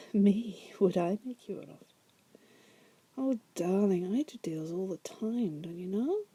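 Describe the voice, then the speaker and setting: A woman's voice: medium pitch, lively intonation, slow, slightly rough, dry recording. A woman playing a wry, seductive demon prisoner in an ASMR roleplay, often whispering, with a teasing, musing manner. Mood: seductive, coaxing. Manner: teasing, musing, drawling asides with soft chuckles. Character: wry, seductive female demon prisoner, patient deal-maker.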